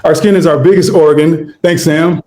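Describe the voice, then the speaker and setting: A man in his forties, a guest vegan chef, telling his story in a relaxed, easygoing interview chat and acting out his own past thoughts. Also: a little high, moderate pace. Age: 40s. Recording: roomy echo.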